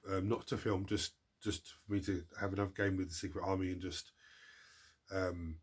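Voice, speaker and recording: A low-voiced man with a husky, breathy tone, talking casually to his viewers. He sounds blocked up from a cold and tends to ramble, doubling back and restarting his words. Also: flat delivery, normal speed. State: congested from a cold. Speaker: hobby channel host answering viewer questions. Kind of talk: casual chat.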